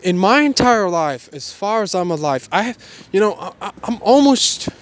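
A man of twenty-one giving a motivational personal monologue in a halting, repetitive, emphatic way. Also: high-pitched, sing-song.

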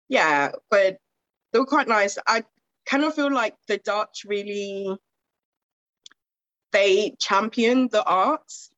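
A woman's voice: lowish voice, a bit bright, no room sound, highs cut off. A young woman from Zimbabwe in her twenties, talking about her poetry in a rambling, casual interview full of fillers. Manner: rambling, with frequent fillers and laughs. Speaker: guest poet.

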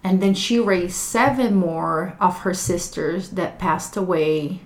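Brazilian woman, portuguese is her first language, kinda low voice, slow and thoughtful, recorded at home. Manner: thoughtful, unhurried, with restarts. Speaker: guest, clinical social worker. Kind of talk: reflective personal storytelling. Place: living room of a home. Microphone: table microphone.

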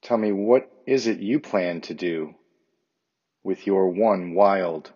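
Slow, low, husky man reading poetry. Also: dark tone, muffled recording. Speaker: volunteer poetry reader, teacher. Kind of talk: poetry recitation.

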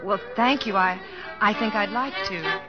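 A woman's voice: medium pitch, animated, a little slow, close to an everyday voice. An actress playing a prim, polite, slightly flustered young small-town woman in old radio drama style. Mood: shy, curious. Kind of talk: 1940s radio acting. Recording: muffled old radio broadcast, music behind.

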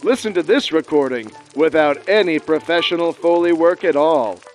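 Vintage radio commercial announcer, male actor: high voice, peppy sales delivery, soft music bed. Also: moderate pace, close to an everyday voice. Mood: salesmanlike. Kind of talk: parody 1940s radio advertisement.

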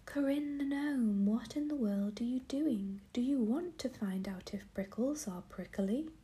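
A woman voicing an unseen teasing figure who laughs and mocks in a raised, breathy voice. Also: a little slow, mellow, natural voice. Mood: mocking. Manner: teasing, laughing, higher-pitched. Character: mocking, laughing unseen teaser.

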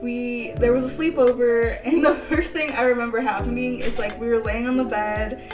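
A woman's voice: a little high, fairly even intonation, normal speed, recorded from a distance, music in the background. A woman in her twenties hosting a casual podcast, chatty and giggly, full of "like" fillers. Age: 20s. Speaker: podcast host. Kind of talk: casual chat.

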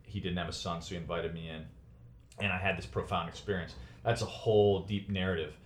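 A very low-pitched man in his forties, originally from Chicago, speaking as a guest in a long, reflective interview. He talks earnestly and thoughtfully, restarting his phrases as he reaches for the right words. His voice carries a roomy echo. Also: steady tone, fairly quick. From United States (Chicago). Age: 40s. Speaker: podcast guest.

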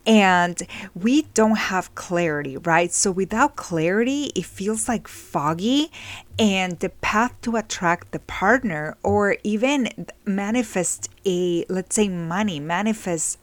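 A woman's voice: medium pitch, expressive, slow pace, no room sound. A middle-aged woman from Mexico, giving an earnest, reflective talk on healing and relationships, often asking "right?" as she goes. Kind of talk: casual motivational talk.

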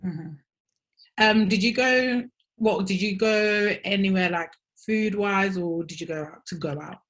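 A woman's voice: medium pitch, measured pace. Easygoing woman in her twenties. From Angola. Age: 20s. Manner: easygoing, trails off softly at phrase ends. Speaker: guest, gym franchise owner. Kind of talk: relaxed interview conversation.

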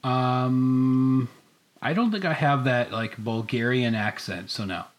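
A man's voice: mid-range voice, slow. A man co-hosting a casual comedy podcast as the mild, hesitant straight man who goes along with the jokes. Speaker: podcast co-host.

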